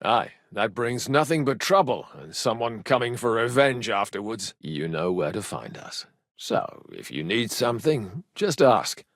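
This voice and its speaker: A joky medieval rogue for a video game, pitch jumps around a lot, really dry close sound. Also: male voice, very animated, unhurried, dry recording. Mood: relaxed, earnest. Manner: colloquial, jocular, irreverent banter with swearing. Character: cheeky, good-humored medieval rogue, loyal younger brother. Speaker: video game character actor. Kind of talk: video game dialogue acting.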